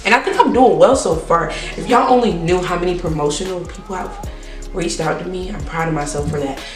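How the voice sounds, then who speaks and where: A woman's voice: lowish voice, brisk. A nineteen-year-old woman, chatting casually and rambling through a Q&A vlog. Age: teens. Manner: chatty, rambling, repeats herself. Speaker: vlogger. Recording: roomy, distant camera sound with faint music.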